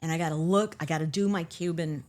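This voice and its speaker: A woman's voice: low-pitched, fairly even intonation, fast, a little mellow. A woman author with a New England accent, chatting candidly as an interview guest with self-deprecating humor. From Cuba. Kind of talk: casual interview chat.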